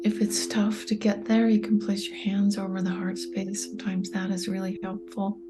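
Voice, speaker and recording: Calm woman meditation guide, breathy near-whisper, steady unvaried tone. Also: medium pitch, very noisy. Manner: soft, slow and soothing, often near a whisper. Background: soft meditation music with singing bowl tones.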